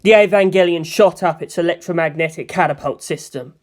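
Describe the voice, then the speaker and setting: A woman's voice: fairly low pitch, a little fast. A woman narrating fan fiction as a dramatic audio story reading.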